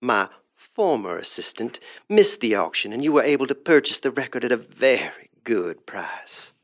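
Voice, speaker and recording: A sinister, moneyed villain in a horror audio drama. He speaks formally and insistently, with a heavy gravelly creak at a medium pitch. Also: sing-song, moderate pace. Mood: politely insistent. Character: sinister, polite, insistent wealthy male collector.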